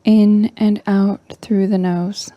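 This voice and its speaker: A woman leading a guided meditation in a soft, breathy, near-whispering voice, calm and gentle with long pauses. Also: mid-range voice, no room sound, muffled recording. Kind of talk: slow guided meditation narration.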